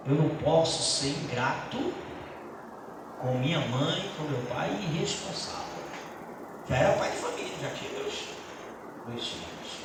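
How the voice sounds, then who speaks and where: Middle-aged pastor in an echoey hall. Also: male voice, on the high side. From Brazil. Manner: earnest personal testimony, halting restarts. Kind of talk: church teaching talk. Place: church hall. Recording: echoey church hall from far off, noisy.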